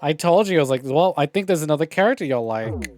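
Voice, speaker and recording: A man's voice: a little high, expressive, fast, a little mellow, soft background music. A man giving casual, opinionated livestream commentary on a visual novel game, with wry, profane grumbling. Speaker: game streamer.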